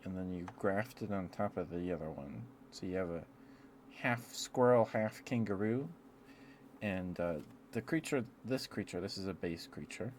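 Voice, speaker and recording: A man chatting in a rambling, affectionate ASMR style to live viewers, speaking softly into a close microphone. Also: low voice, expressive, moderate pace. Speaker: ASMR livestreamer. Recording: clear background noise.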